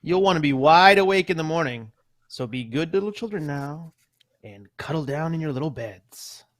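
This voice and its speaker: A man playing a soft-spoken mother tucking her children into bed in a live old-time radio play reading. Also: a little high, animated, moderate pace, no room sound, studio quality. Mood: soothing. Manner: soft, soothing bedtime delivery.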